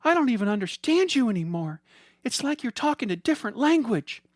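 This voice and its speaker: A man's voice: very high-pitched, very animated, a little fast, no echo, studio quality, pitched above the performer's own voice, heavily acted. A man voicing an anxious, exasperated wife and mother in a comic story, emotional and pleading. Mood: upset.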